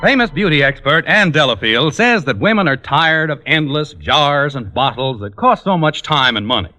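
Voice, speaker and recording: Bright, high-pitched vintage radio announcer man. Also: lively intonation, moderate pace, faint music behind. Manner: polished, emphatic salesmanship. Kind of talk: 1940s scripted radio commercial.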